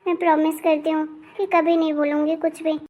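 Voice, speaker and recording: A woman plays a young married daughter in a cartoon family drama, extremely high in pitch. She is hot-tempered and sulky, with a sharp, demanding tongue, and stays in a narrow band of notes. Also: flat delivery, a little fast, lightly acted. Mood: apologetic. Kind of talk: animated family drama dialogue.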